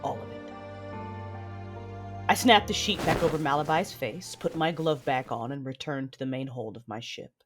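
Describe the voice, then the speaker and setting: An actress playing a vengeful female Sith lord, narrating her own story in an audio drama with intense, deliberate delivery. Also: extremely low pitch. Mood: bitter grief. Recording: music behind the voice.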